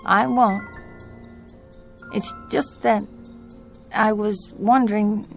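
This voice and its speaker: A woman's voice: medium pitch, steady tone, slow pace, gravelly, faint music behind. An actress playing an anxious, haunted young woman traveling alone in a radio drama, speaking in a hesitant, polite, uneasy way. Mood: polite, flustered.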